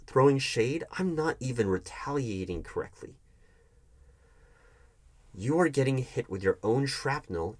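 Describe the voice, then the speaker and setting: A man playing a defensive, wounded hiring manager arguing with his ex in a conversational audio roleplay, halting and often throwing questions back. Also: mid-range voice, close to an everyday voice. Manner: defensive, halting, repeats the other's accusations as questions. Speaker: roleplay performer. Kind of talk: one-sided audio roleplay, conversational acting.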